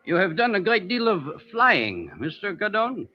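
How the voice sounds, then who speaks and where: Coolly menacing ex-soldier, muffled recording. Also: male voice, sits high, big pitch swings, full character voice. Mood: probing, condescending. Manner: formal, measured, probing. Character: coolly menacing German ex-soldier, formal and condescending. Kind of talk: old-time radio drama acting. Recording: muffled old radio show recording.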